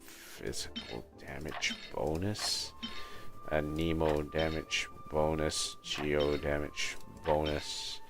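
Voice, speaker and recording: Very deep, creaky-voiced male game streamer. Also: fairly even intonation, slow. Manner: muttering to himself, thinking aloud. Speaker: livestreamer, gamer. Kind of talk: casual gameplay commentary. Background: game music.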